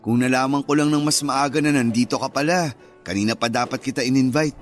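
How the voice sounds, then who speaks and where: A man's voice: medium pitch, quick pace, faint music behind, lightly acted. A man acting a friendly, earnest young suitor with a Filipino accent in a radio romance drama.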